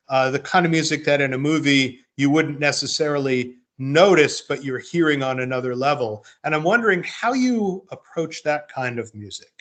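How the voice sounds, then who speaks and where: A man chatting as a podcast host in a halting, reflective way. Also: mid-range voice, a bit bright. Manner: halting, reflective, repeats words while thinking. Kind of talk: casual chat.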